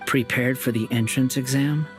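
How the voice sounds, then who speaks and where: A man's voice: mid-range voice, steady tone, normal speed, close to an everyday voice. Guy playing a snarky aloof student in a game, dry and cynical, keeps it short, faint music. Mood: guarded. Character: aloof, guarded, sardonic young male scholar. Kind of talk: video game cutscene dialogue.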